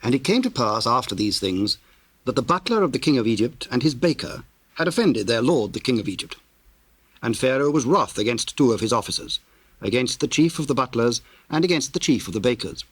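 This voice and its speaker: Male narrator, mid-pitched, solemn, measured, formal scripture reading, dry close sound. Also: sing-song, slightly rough, no echo.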